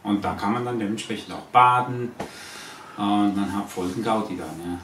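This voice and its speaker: Low-voiced Swiss man, distant and echoey. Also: a bit bright. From Switzerland. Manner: halting, searching for words. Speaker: interviewee, eco-farm owner. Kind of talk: informal on-camera interview. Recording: echoey, distant, noisy ambient recording.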